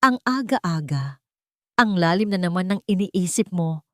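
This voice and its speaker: A tender young mother in a storybook romance, speaking softly and earnestly, as a woman narrator puts her on. Her voice sits a little low for a woman, with a lift when she pleads. The recording is close and dry. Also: animated, a little slow, no echo. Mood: teasing.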